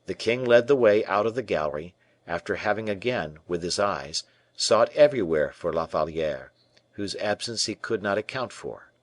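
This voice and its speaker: Man reading a classic novel aloud, lowish voice, steady pace, super dry close mic. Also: moderate pace, dry recording. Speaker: audiobook reader. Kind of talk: audiobook narration of a classic novel.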